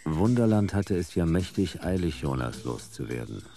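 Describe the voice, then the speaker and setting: A world-weary noir detective with an extremely low, creaky voice. He speaks in clipped, deadpan fragments as a radio-play narrator, with music behind him. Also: moderate pace. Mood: brooding, laconic. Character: laconic hard-boiled private detective, wry and weary. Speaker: narrator and lead character. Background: music and vehicle sound effects.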